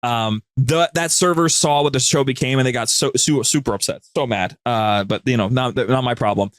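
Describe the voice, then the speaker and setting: A man's voice: mid-range voice, normal speed, dry recording. A man speaking as a podcast guest and show producer, telling anecdotes in a casual, rambling, informal way. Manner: rambling, informal, conversational storytelling.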